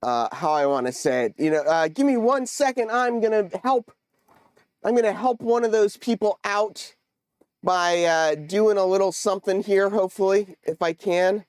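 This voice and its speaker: A man with a high, smooth voice hosts a casual livestream with a stuffy, congested nose. He chats with viewers in a halting way, full of fillers and restarts. The sound is dry and close. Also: a little mellow, dry recording. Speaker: livestream host. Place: home model railroad room.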